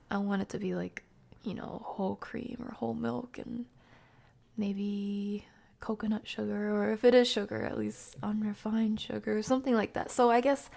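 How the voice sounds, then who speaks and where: A woman's voice: mid-range voice, creaky. A woman hosting a livestream, chatting casually and informally with viewers in a rambling way. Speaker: live streamer hosting a stream. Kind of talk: casual livestream chat with viewers, reading comments.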